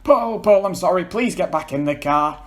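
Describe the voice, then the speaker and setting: A man's voice: high voice, animated, moderate pace, pitched above the performer's own voice. A man voicing an irritable, blunt male friend with an exasperated manner in a dramatic story reading. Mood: apologetic.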